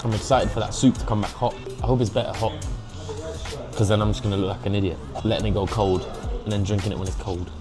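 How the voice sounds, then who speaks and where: A man's voice: fairly low pitch, steady tone, fast talker, music in the background. A young British man talking casually and informally as a food vlogger, now and then dropping Mandarin phrases into his English. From England. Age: young adult. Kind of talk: casual food review vlog.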